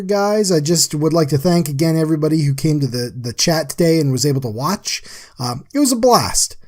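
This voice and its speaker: A man's voice: a little high, a little mellow. A man giving a casual, chatty voice-over as an artist recapping a drawing, talking informally to viewers. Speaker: artist and livestream host.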